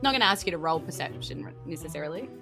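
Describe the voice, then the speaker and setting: A woman's voice: sits low, expressive, fast, gravelly, sharp tone, soft background music. A woman with a New Zealand accent narrating a tabletop roleplay game as game master in a chatty, informal way.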